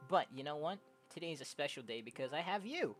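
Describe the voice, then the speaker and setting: Young let's player, casual and jokey, lively pitch, reads game text aloud over faint music. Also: fast. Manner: animated, jokey, exclaims loudly. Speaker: streamer playing and reading aloud a game. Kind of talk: casual let's play, reading dialogue aloud.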